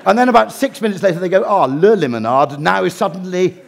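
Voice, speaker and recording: A man's voice: sits high, animated, fast talker, gravelly, a little hiss or hum. A middle-aged English man giving a humorous talk, with dry wit and ironic asides. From England. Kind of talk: humorous lecture.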